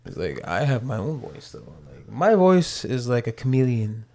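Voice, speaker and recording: A man guesting on a comedy podcast with a soft, non-threatening voice, playful and quick to laugh and try silly voices. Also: mid-range voice, very animated, gravelly. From Mohawk Nation. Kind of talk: casual comedic banter.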